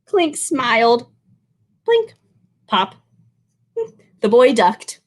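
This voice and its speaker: A woman reading a picture book aloud as a children's librarian, expressive, drawing out words and speaking to young listeners. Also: on the high side, very slow.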